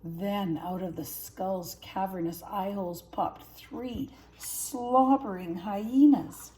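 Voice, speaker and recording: A woman's voice: medium pitch, slow pace, dark tone, low-quality recording. An older woman, a grandmother, narrating a children's story. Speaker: grandmother reading stories.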